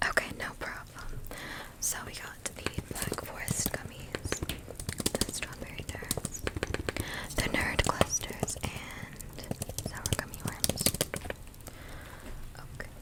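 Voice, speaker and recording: Slow, whispering woman doing ASMR. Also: low-quality recording. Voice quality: breathy unvoiced whisper. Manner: soft, unhurried, attentive to the listener. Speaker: ASMR host playing a candy shop keeper.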